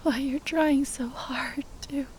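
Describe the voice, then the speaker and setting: A woman playing a tender, grieving spellcaster lover in an ASMR roleplay, speaking in a breathy, halting whisper. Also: high-pitched, steady tone, a little mellow, a little hiss or hum. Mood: weak, drowsy, confused. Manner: halting, tender, sighing delivery.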